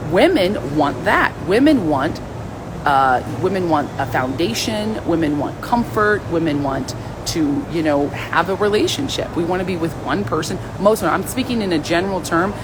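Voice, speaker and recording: A woman with a raspy voice hosting a live stream, chatting casually and reading viewer comments in a blunt, outspoken, playful way. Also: on the low side, animated, normal speed, background noise.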